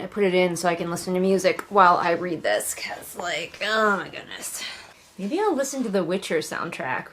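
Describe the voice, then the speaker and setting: A woman giving casual, sarcastic read-along commentary as a book reviewer, with animated, mocking emphasis. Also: on the low side.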